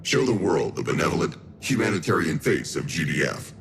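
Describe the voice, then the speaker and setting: A man's voice: sits low, animated, fairly quick. An actor playing a polite, calculating male official who speaks in a formal, polished way in a game cutscene. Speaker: official assigning a mission. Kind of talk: video game cutscene acting. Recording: roomy with noticeable background noise.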